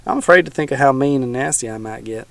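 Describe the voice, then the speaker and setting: A man voicing a gruff, menacing old male swamp hermit with a folksy backwoods drawl. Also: mid-range voice, fast talker, a bit bright.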